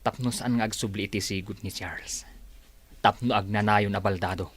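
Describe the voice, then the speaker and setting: A man's voice: fairly low pitch, steady tone, normal speed, lightly acted. An actor playing a gloating, sneering male schemer who covets his cousin's business in an audio drama.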